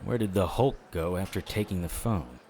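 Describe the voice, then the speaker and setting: An actor playing a tough, wry private eye in a noir audio drama, with a deadpan delivery. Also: male voice, low voice, normal speed, slight background noise. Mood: businesslike.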